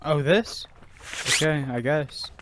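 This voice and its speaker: A man's voice: on the high side, slow pace, background noise. A man playing an eager, slightly whiny young squad member in an audio drama, casual and questioning.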